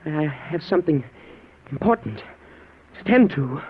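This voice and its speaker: An actor in an old-time radio drama playing a confused, staggering man on a desperate mission, speaking in halting, urgent fragments. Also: on the high side, sing-song, slight background noise. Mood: disoriented, urgent. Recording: muffled old radio broadcast recording.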